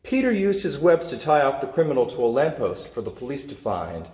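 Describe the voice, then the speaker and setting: A man reading a children's superhero story aloud, with expressive, dramatic emphasis. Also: mid-range voice, brisk. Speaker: storybook reader. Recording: echoey, reverberant room.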